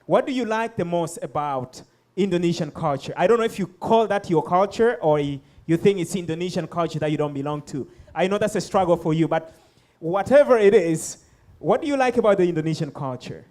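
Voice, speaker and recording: A man's voice: sits high, lively intonation, fairly quick, a little mellow. A man hosting a panel conversation, encouraging and friendly as he asks his guests questions. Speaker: host.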